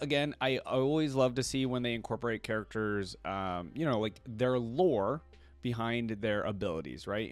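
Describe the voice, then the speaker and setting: A man's voice: medium pitch, no echo. A man hosting a casual reaction video, rambling and thinking aloud as he comments.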